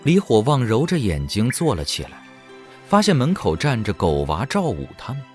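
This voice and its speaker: A man's voice: mid-range voice, wildly swooping pitch, normal speed. A man giving dramatic scripted narration for an audio drama. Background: faint music.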